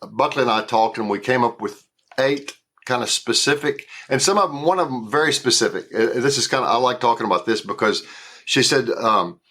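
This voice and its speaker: A man's voice: mid-range voice, expressive, a little fast, a bit bright. A man in his sixties with a Southern US accent, an actor talking casually in an interview, often repeating words and restarting. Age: 60s. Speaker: actor guest.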